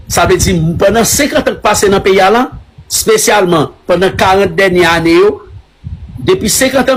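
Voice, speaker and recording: A man from Haiti with a Haitian Creole accent, answering a caller on a live stream in halting French mixed with Creole words. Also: high-pitched, a little hiss or hum, rough recording. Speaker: livestream speaker answering a caller.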